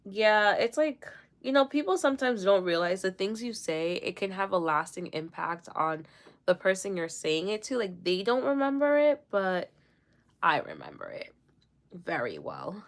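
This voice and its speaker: A woman's voice: mid-range voice, very animated, normal speed. A young woman from Jamaica with no accent, chatting casually and rambling with fillers while doing her makeup on a livestream. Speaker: livestream host.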